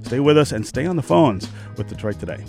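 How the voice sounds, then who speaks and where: A man hosting a radio interview in an informal, lightly teasing and halting way. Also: medium pitch, lively intonation, gravelly, a little mellow, soft background music. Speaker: radio host. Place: radio studio.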